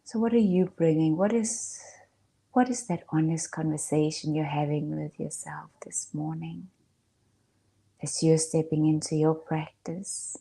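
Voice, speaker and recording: A woman speaking as a meditation guide, in a soft, breathy, hushed voice with long pauses. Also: sits low, steady tone. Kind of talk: gentle guided meditation talk.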